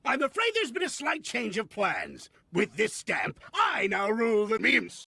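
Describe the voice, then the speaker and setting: A man voicing a gloating, power-hungry cartoon villain with a raspy, theatrical, bombastic delivery. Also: high-pitched, huge pitch swings, measured pace, pushed and bright, no room sound, clean studio sound, full character voice.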